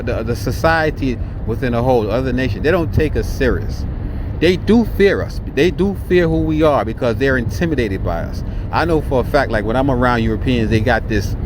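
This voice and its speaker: Jamaican man preaching from his car. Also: medium pitch. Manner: emphatic and blunt, with frequent restarts and profanity. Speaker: vlogger. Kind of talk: casual preachy monologue. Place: inside a moving car. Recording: car noise while driving. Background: road and car noise.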